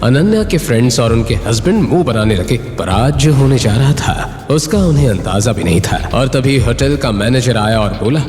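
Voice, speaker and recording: A man's voice: medium pitch, lively intonation, normal speed, hardly any pauses, darkish tone. A man narrating a scripted audio drama in a suspenseful, dramatic storytelling style. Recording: echoing reverb, music bed.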